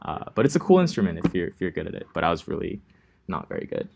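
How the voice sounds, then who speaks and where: A young man from Los Angeles teaching a coding class in a relaxed, chatty way, with many ums and likes. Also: low-pitched, quick pace, creaky, noisy background. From Los Angeles, California. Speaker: coding instructor. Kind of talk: casual classroom lecture.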